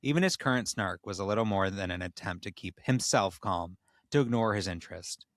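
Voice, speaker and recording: A man's voice: low-pitched, a little fast, no room sound, studio quality. A man hosting a comedy podcast, reading a story aloud as narrator with casual banter. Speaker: podcast host and reader.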